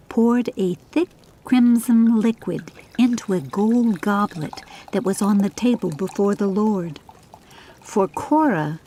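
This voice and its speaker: A woman's voice: medium pitch, mellow. A woman reading scripted audiobook narration of a story.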